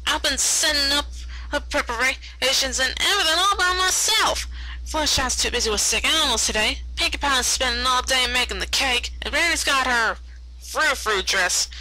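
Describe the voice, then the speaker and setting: A woman's voice: sits high, animated, normal speed, pushed and bright, pitched above the performer's own voice, exaggerated voice, poor audio. A woman voicing a hard-working, exasperated country farm pony mare with folksy talk, in a cartoon fan story.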